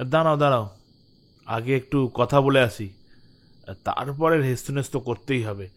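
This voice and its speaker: A man's voice: medium pitch, a little slow, lightly acted. A man playing an indignant, status-proud villager in an audio story, haughty and confrontational. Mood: irritated. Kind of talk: Bengali audio story acting.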